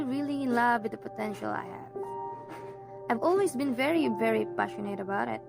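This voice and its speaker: A woman's voice: sits high, creaky. A woman playing a proud, determined female student in an amateur radio drama. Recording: music behind the voice.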